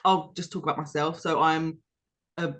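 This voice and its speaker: A British woman co-hosting a podcast, explaining her views in a measured, reflective way and building her points step by step. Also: low voice, fairly even intonation, a bit bright. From United Kingdom. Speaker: podcast co-host.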